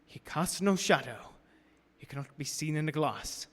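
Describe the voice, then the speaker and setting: A man's voice: fairly high pitch, expressive, moderate pace, fairly bright tone. An actor playing a terrified young man held captive, intense and theatrical, in radio horror style. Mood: haunted, dread. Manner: intense, theatrical, breaking into cries. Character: terrified young man, captive in a castle, writing his journal. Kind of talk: dramatic radio horror acting. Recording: reverberant hall, faint background noise.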